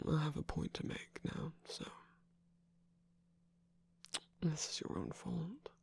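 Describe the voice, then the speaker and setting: A performer of unclear sex voicing a seductive villain lover in an intimate roleplay ASMR, teasing and protective, with a husky, breathy, often whispered voice. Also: mid-range voice, sing-song, normal speed, darkish tone, full character voice. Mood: firm, caring.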